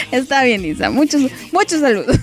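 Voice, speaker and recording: A woman with a Mexican accent hosting a live-stream show in casual chat, playful and joking, often breaking into laughter. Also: sits high. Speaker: host.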